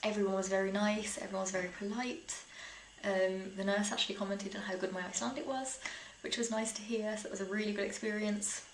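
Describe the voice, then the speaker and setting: A woman's voice: medium pitch, fairly even intonation, fast. Chatty British woman in an echoey room. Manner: chatty, informal, with small laughs through sentences. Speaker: vlogger. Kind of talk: casual personal storytelling to camera. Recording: roomy echo, faint background noise.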